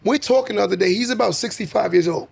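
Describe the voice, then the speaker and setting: Podcast co-host, man, raspy and gravelly, lively anecdotes. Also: high-pitched. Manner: animated storyteller, quick affirmations. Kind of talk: casual podcast conversation, storytelling.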